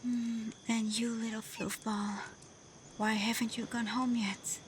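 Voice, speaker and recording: A woman playing a gentle, devoted kitsune in love in an intimate ASMR roleplay, speaking tenderly and hesitantly, often dropping to a breathy whisper. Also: a little high, little pitch movement, slow, background noise. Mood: soft, playful. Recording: faint cricket and night insect ambience.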